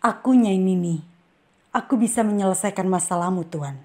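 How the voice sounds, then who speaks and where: A mysterious forest spirit woman in a horror cartoon, played by a woman, speaking calmly and politely to draw a stranger in. Her pitch is middling and even. Clear background noise sits under her voice. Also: normal speed, close to an everyday voice. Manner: calm, polite, quietly persuasive.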